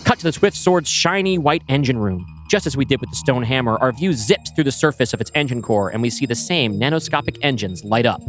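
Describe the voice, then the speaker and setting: A man's voice: medium pitch, animated, brisk, few pauses, faint music behind. A man reading a screenplay's scene directions aloud, with a wry, descriptive manner. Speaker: podcast co-host.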